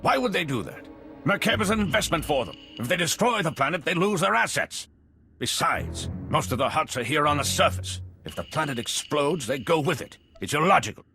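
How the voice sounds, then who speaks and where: An actor playing an anxious, officious politician in a video game, with an earnest, fussy, insistent manner. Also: male voice, a little high, expressive, fairly quick, pushed and bright, faint music behind. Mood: insistent, exasperated. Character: anxious, officious male politician, cautious appeaser.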